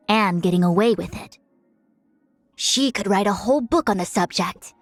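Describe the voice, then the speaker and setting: A woman's voice: medium pitch, no echo, close to an everyday voice. A woman playing a proud young female diviner in a video game, speaking in composed, formal, lofty phrases. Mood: wry, exasperated. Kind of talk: scripted game character voice lines. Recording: studio-dry voice over music. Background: music.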